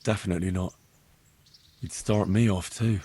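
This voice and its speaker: Deep, husky young medieval lad. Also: male voice, fairly even intonation, moderate pace, mellow, no room sound. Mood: sincere, reassuring. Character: easygoing, loyal young medieval blacksmith's son, playfully sincere. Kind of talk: naturalistic video game dialogue acting.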